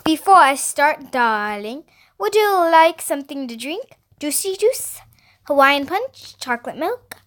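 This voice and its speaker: A woman's voice: high voice, very animated, a little slow, fairly bright tone, pitched above the performer's own voice, heavily acted. A woman voicing a bossy six-year-old girl who plays a gushing salon stylist in an exaggerated fake French accent, audiobook style. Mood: excited. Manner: gushing, theatrical, commanding. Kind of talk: audiobook character voice.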